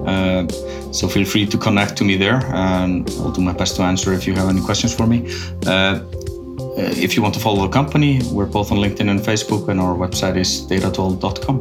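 A man with a very low, deep voice answers questions as a podcast guest. He talks in a halting way, restarting sentences as he works out his thoughts. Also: fairly even intonation, music in the background. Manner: hesitant, restarts often, thinks aloud. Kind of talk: casual business interview.

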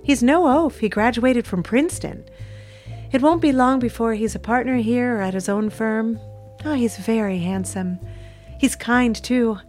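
Woman acting gossipy office confidante, high voice, chatty, inquisitive, loyal, faint music behind, audio drama. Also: measured pace, darkish tone, close to an everyday voice. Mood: admiring, playful.

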